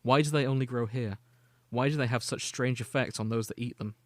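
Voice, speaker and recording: A man with a mid-pitched voice reads and retells a piece of fan fiction for a podcast. He moves between narrated prose and casual, conversational asides. The sound is dry, with no room around the voice. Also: brisk, dry recording. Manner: storytelling, alternating read-out prose with casual asides. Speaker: podcast host. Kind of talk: reading and summarizing fan fiction.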